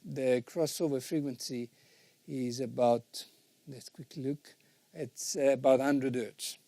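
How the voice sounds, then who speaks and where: A man with a soft-edged, mellow voice teaches in an informal, didactic way, like an instructor walking learners through a subject. He regularly checks for understanding, and the sound is very dry, with little room around the voice. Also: medium pitch, no echo. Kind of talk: informal explanatory lesson.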